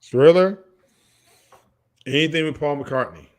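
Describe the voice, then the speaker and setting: A man's voice: on the high side, a little slow. Opinionated man in his late forties, talking casually. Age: late 40s. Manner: opinionated, emphatic, repeats himself. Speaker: podcast co-host. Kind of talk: casual music debate.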